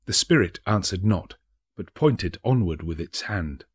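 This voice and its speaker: A man narrating a classic ghost story as a dramatic audiobook, theatrical and deliberate. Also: sits low, expressive, breathy edge. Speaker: narrator, actor.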